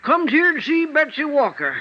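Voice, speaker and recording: A man's voice: extremely high pitch, big pitch swings, normal speed, lo-fi sound. An actor playing a cagey, chatty old stage doorman in an old-time radio drama, hesitant and folksy, full of hems and haws. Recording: vintage radio recording, band-limited, faint noise.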